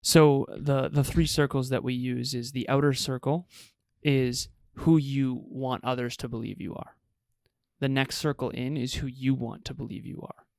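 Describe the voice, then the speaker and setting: A man's voice: mid-range voice, steady tone, moderate pace, gravelly, darkish tone, dry recording, studio quality. A man in his thirties co-hosting a casual couples podcast, talking in an earnest, encouraging way. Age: 30s. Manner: earnest, encouraging, laughs easily. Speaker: podcast co-host.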